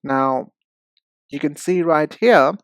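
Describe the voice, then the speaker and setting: A man teaching English pronunciation in a deliberate, instructive way, speaking directly to the learner. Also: fairly high pitch, a bit bright, smooth, no echo, studio quality. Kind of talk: instructional lesson.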